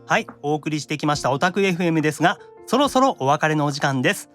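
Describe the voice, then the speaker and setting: A man's voice: on the high side, animated, fast talker, fairly bright tone, soft background music. A man with a Kansai accent hosting a casual radio show in a chatty manner. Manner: chatty, slips into dialect. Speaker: radio show host, voice actor.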